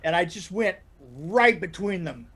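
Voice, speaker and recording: An older man telling anecdotes casually in a rambling, halting way, chuckling as he goes. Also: sits high, lively intonation, a bit bright, husky. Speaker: podcast storyteller guest. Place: indoor room on a video call.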